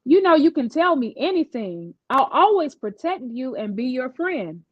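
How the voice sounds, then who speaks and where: A woman's voice: sits high, big pitch swings, normal speed, no echo, dull audio. A woman voicing a caring, confident schoolgirl best friend in a storybook, reassuring and a bit sassy. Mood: concerned.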